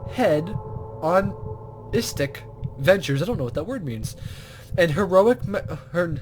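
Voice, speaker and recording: A man's voice: fairly high pitch, poor audio. A man doing let's play commentary, reading visual novel text aloud and stumbling over unfamiliar words. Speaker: gaming video host.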